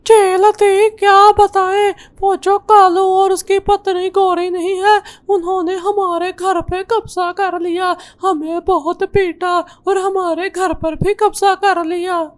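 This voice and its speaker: A woman's voice: extremely high pitch, flat delivery, slow, few pauses. A woman voicing a small, meek female bird in a cartoon, plaintive and earnest. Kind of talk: Hindi cartoon voice acting.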